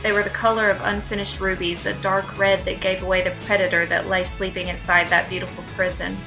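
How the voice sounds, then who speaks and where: A woman's voice: mid-range voice, level tone, brisk, over music, rough recording. A woman co-hosting a comedy podcast, talking casually and reading fan fiction aloud. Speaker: podcast co-host.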